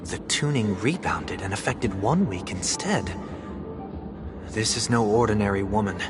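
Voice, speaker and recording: A reserved, watchful man in a video game. His low voice is terse and cool, set over music. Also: lively intonation, a little slow. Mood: cool, probing. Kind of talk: scripted video game voice acting. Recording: music behind the voice.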